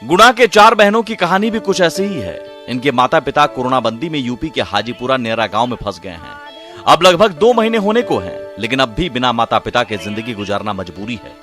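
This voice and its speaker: Dramatic male news narrator over loud music. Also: mid-range voice, big pitch swings, fairly quick. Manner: emotive, theatrical delivery. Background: loud background music.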